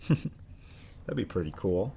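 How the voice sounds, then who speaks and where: A man's voice: low-pitched, very animated, extremely slow, gravelly, mellow, husky. A man with an American accent talking informally and encouragingly to his pupils as a teacher. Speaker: school teacher. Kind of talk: casual talk to pupils, read-aloud.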